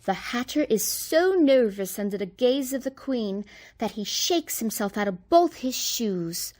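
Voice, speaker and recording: A woman's voice: fairly high pitch, expressive, measured pace, a little mellow. A woman giving scripted narration in a radio play, telling a story.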